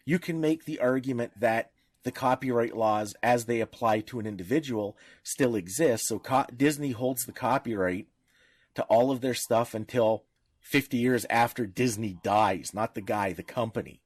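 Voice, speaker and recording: A man's voice: medium pitch, moderate pace, no echo, studio quality. A man speaking as a podcast panelist in informal discussion, explaining in a didactic way with examples.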